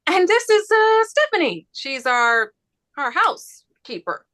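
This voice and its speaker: A woman playing a frazzled journalist, halting and awkward as she improvises, in a stage comedy read. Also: very high-pitched, big pitch swings, sharp tone, exaggerated voice. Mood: flustered. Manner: flustered, halting.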